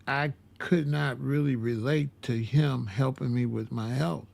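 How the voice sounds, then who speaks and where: A man in his sixties telling a personal story in a casual, rambling way, repeating himself and filling pauses with "uh". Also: mid-range voice, fairly even intonation, vocal fry, a little mellow. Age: 60s. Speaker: vlogger.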